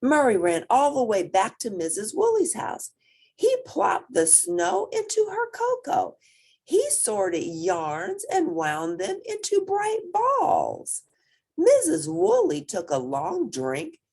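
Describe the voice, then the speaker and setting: Expressive, slow-paced woman storybook reader. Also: very high-pitched, creaky. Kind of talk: expressive children's story narration.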